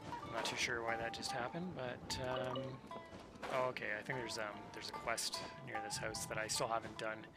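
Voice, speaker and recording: Gravelly-voiced man casually streaming a game. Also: mid-range voice, level tone, moderate pace. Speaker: video game livestreamer. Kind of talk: casual gameplay commentary, thinking aloud. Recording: game music behind voice. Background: video game music.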